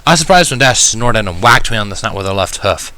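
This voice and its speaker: A man's voice: on the low side, expressive, fast, sharp tone, dry recording. A man reading scripted first-person fan fiction as the narrator.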